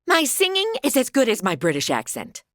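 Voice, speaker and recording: A woman playing an excitable, sulky young woman in a comic audio drama, with a bubbly, sassy, dramatic delivery. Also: on the high side, a little fast, no echo, clean studio sound. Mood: indignant. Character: excitable, sulky young woman zapped into old radio shows. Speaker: star of a comedy audio drama podcast. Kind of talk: comic audio drama acting, casual modern speech.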